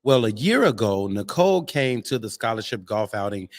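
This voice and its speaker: A man's voice: on the low side, sing-song, normal speed, dry recording, studio quality. An American man chatting casually on a live show as a host, earnest and encouraging in how he talks. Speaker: podcast host and actor.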